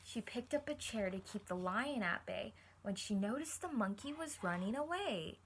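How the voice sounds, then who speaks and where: A woman teacher reading a picture book to children, encouraging them and pausing to explain things simply and ask questions. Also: medium pitch, expressive, a little hiss or hum. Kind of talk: read-aloud lesson with questions to young listeners.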